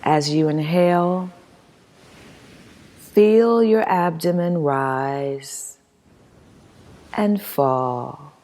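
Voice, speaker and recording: A woman leading a guided meditation as instructor, reading in a soothing, measured manner with long pauses. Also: sits low, warm tone. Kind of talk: guided meditation, scripted narration. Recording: long hall-like reverb.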